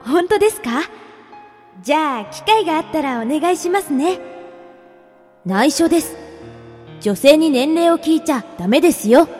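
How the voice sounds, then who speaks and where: A woman acting a gentle, courteous young pen pal in a radio drama, playfully secretive and softly teasing. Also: high voice, animated, moderate pace, pauses often. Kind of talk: radio drama dialogue, reading letters aloud. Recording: long reverb, music behind.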